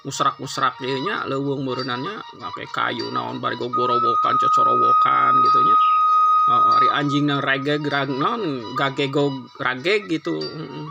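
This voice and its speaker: A man's voice: mid-range voice, huge pitch swings, moderate pace, gravelly, lo-fi sound. A man with a Sundanese accent telling a folktale informally, in a chatty, conversational way. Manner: chatty, conversational, frequent tag words. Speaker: storyteller. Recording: loud music under the voice. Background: loud music.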